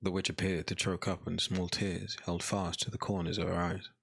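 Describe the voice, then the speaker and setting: A man narrating a scripted dark fantasy audiobook in a measured, literary storytelling manner. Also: low-pitched, flat delivery, vocal fry, slightly rough.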